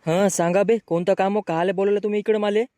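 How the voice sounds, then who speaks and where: A man's voice: high-pitched, fairly even intonation, fast, mellow, a little hiss or hum, close to an everyday voice. A man voicing a brash, grumbling young village man with a rural Marathi accent, bickering in slang in a comic cartoon. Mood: grumbling banter.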